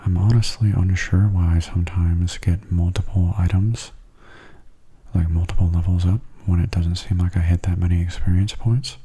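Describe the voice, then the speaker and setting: A man giving calm, unhurried, soft-spoken ASMR commentary on gameplay, musing aloud in a breathy voice that often nears a whisper. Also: low-pitched. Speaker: gaming video host.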